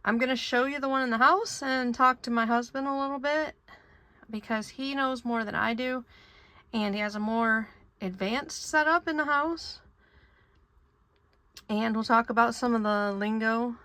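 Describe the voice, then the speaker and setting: A woman with a slightly high voice talks casually as a vlog host. She explains things in plain, unscripted words, like someone showing you around. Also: a bit bright.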